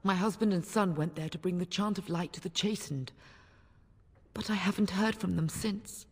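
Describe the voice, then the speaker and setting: An actress playing a gentle, sorrowful village wife in a fantasy video game, speaking in a measured, earnest way. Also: female voice, on the low side, slightly rough. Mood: anxious, hopeful. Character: sorrowful gentle village wife awaiting missing husband and son. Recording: long hall reverb.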